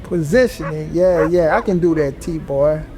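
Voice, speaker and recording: A man's voice: on the high side, a little slow, noisy background, lightly acted. An actor in an audio drama playing an eager, scheming young male street hustler with slangy patter. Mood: agreeable.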